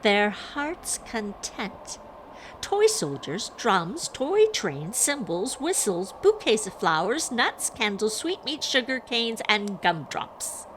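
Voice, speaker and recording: A woman's voice: medium pitch, big pitch swings, slow, fairly bright tone, slight background noise, pitched above the performer's own voice, low-quality recording. A woman voicing a prim young woman in a story, reciting emphatically with rehearsed, forced cheer. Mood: staged cheer.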